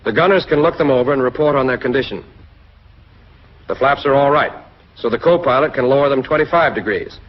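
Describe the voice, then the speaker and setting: A man's voice: mid-range voice, fairly quick. An American man reads scripted narration for a 1940s military training film, addressing the listener directly in an instructive way. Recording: muffled, husky-sounding 1940s film soundtrack.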